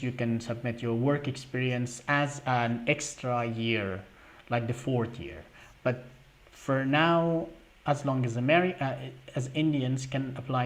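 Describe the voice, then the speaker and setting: Young guy on a livestream, answering chat questions, lots of uh and um, stops and restarts. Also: medium pitch. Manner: halting, many fillers and restarts; reads out viewer questions. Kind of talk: live Q&A stream.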